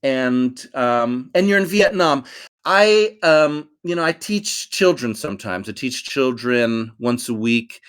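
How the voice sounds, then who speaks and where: A middle-aged man with a New York accent hosts an online English class over voice chat. He talks in a friendly, meandering way, filling pauses with ums and starting sentences over. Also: mid-range voice, animated, fairly bright tone, smooth. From United States. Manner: friendly, rambling with many fillers and restarts. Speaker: English teacher. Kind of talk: hosting an online conversation class.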